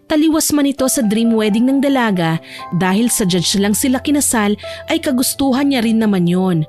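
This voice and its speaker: Female storyteller, scripted romance narration, higher pitch, faint background music. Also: lively intonation, normal speed, darkish tone.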